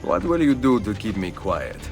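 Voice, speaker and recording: An actor playing a confident, charming Renaissance nobleman assassin in a video game, speaking in a suave, measured Italian accent. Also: on the low side, sing-song, faint music behind. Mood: flirtatious, suave. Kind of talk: video game cutscene acting.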